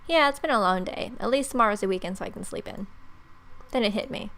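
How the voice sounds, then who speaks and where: Female let's player, twenties: medium-pitched, casual narration of game text, sarcastic commentary. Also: fast, a bit bright. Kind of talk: reading visual novel text aloud with wry asides. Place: bedroom.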